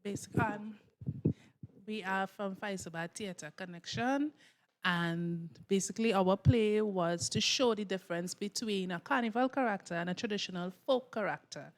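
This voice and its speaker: A woman's voice: mid-range voice, moderate pace. A woman with a Trinidadian accent speaks as a performer in an interview. Her voice sits mid-range and she explains things casually. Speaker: stage performer being interviewed. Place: interview room off stage.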